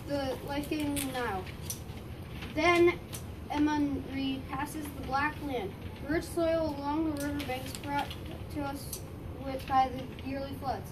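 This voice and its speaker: A woman reads the part of a patient father and priest of ancient Egypt, in a high voice. The delivery is halting, like amateur readers theater, and it is picked up from far off with clear background noise. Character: patient Egyptian father, embalmer priest teaching his children. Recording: distant mic, noisy room ambience.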